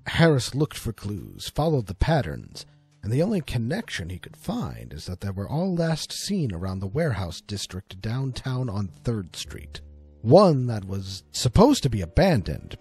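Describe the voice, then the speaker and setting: A man's voice: fairly low pitch, darkish tone, husky. A man reading scripted, dramatic story narration as the narrator.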